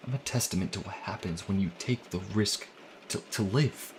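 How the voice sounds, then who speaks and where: A man playing an earnest, anxious young priest healer in an audio drama, speaking in a halting, hesitant way. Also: on the low side, a little hiss or hum, lightly acted. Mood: troubled. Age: young adult.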